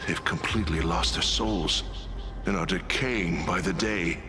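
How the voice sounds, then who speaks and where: A man's voice: deep voice, measured pace, music in the background. A man voicing an earnest, courteous ghostly soldier in a fantasy game, speaking formally and hesitantly. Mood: grave. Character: earnest, courteous ghostly soldier trapped before his death.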